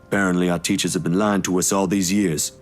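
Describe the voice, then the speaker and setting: A man playing a calm, reassuring young man in a visual novel, speaking in a measured, slightly hesitant way. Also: low voice, close to an everyday voice. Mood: troubled, reassuring.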